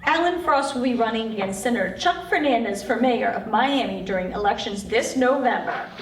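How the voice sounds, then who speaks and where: Woman acting a prissy high-voiced TV news reporter. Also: animated, a little fast. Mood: brisk, on-air. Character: prissy, pushy female TV news reporter, mid-thirties. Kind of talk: table-read acting. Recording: echoey room, distant mic, faint noise.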